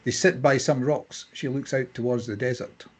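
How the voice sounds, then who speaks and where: A man with a slightly husky voice narrating stage directions from a screenplay at a table read. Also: medium pitch, fairly even intonation, normal speed, slight background noise.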